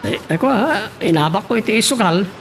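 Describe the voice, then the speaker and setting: A man's voice: sits high, expressive, brisk, a little hiss or hum. A man playing an easygoing but shady son-in-law with an Ilocano Filipino accent in an amateur audio drama. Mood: casual, coaxing.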